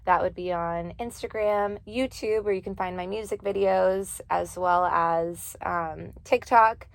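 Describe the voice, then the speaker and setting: A woman's voice: medium pitch, a little slow, creaky, no echo. Earnest young woman singer, dry close sound. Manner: earnest, laughs easily, many fillers. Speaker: singer and poet guest. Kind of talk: casual interview talk. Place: office studio.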